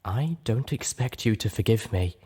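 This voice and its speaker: A man's voice: fairly low pitch, animated, normal speed, mellow, slightly rough. A man voicing a cautious, hurt but obstinate female pony in a dramatized audiobook, speaking carefully and insistently. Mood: cautious, pleading.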